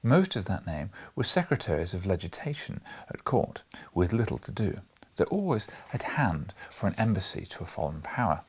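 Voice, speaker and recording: Low, husky male storybook narrator. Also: sing-song, normal speed. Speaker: audiobook narrator.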